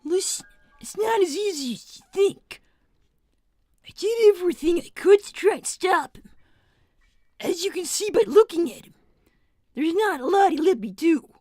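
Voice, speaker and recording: A woman's voice: very high-pitched, expressive, moderate pace, pauses often, a little mellow, no echo, soft background music. A woman voicing an exasperated young fox inventor in a casual, argumentative improvised livestream roleplay. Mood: casual, explaining.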